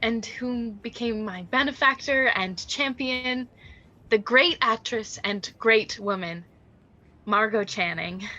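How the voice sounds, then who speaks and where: An actress playing a celebrated young stage star in a radio drama, poised and falsely humble, speaking in measured, formal tones. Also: female voice, on the high side, sharp tone, lo-fi sound. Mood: gracious, grand. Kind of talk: theatrical radio drama acting.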